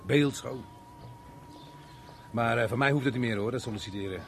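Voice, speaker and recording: A man's voice: medium pitch, moderate pace, creaky, faint music behind, close to an everyday voice. A man acting in a Dutch radio play as a jovial, wry, middle-aged unemployed chatterbox who jokes with his neighbour. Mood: chatty, wry. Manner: chatty, joking, chuckling, wry.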